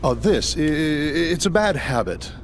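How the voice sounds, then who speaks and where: A man's voice: fairly high pitch, expressive, unhurried, a little hiss or hum. An actor voicing an awkward young male soldier who stammers when caught off guard in a game cutscene. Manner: flustered, stammering. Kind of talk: video game cutscene voice acting.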